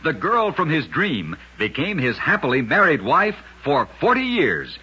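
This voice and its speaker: A man narrates like an old-time radio host, with a very husky, rough-edged voice that still cuts through brightly. His scripted delivery is dramatic and lively in pitch. The recording is dull and lo-fi, like an old broadcast. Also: a little slow. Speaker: host and storyteller of a radio show.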